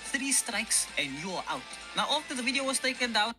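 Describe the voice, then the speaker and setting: A man's voice: very high-pitched, very animated, a little fast, music in the background. A man delivering a scripted, profane callout of a rival creator, scornful and mocking. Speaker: YouTuber calling out a rival.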